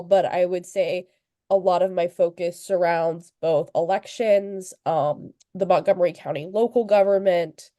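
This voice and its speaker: Sniffly young woman reporter on a video call, lowish warm voice, chatty and relaxed. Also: no echo, clean studio sound. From Virginia, USA. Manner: chatty, fillers and restarts, light laughs. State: sniffly, under the weather. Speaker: local news reporter, guest speaker. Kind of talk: informal talk at a club meeting.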